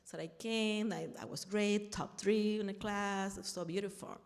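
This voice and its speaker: A woman's voice: mid-range voice, fairly even intonation, unhurried, big-room sound. A middle-aged woman from Puerto Rico with a Puerto Rican Spanish accent, earnestly telling her story at a panel and often restarting while she looks for words. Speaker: panel speaker, artist and activist. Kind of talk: personal talk at a panel.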